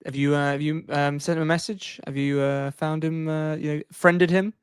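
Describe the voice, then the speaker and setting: A man's voice: a little high, little pitch movement, moderate pace, clear, resonant tone, dry recording, clean studio sound. A man co-hosting a sports podcast in a casual, informal, conversational way.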